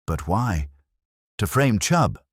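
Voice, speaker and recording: A man voicing an earnest, puzzled police sergeant in a mystery story, brisk and questioning. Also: lowish voice, very animated, breathy edge, no room sound, studio quality, voice pushed up, full character voice.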